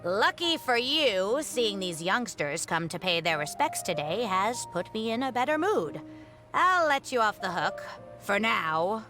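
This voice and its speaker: An actress playing an elderly woman scholar in a video game, composed and reminiscing in a measured, wry manner. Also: medium pitch, very animated, bright, forceful tone, soft background music. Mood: magnanimous, teasing. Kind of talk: scripted video game dialogue.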